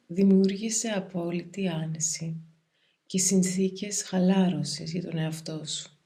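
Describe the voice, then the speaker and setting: Woman, slightly low voice, guided meditation, calm, soothing, measured pauses. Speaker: yoga nidra meditation guide.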